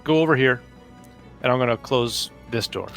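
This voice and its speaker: A man playing a tabletop game, deliberately thinking his moves through out loud in casual talk. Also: mid-range voice. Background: music.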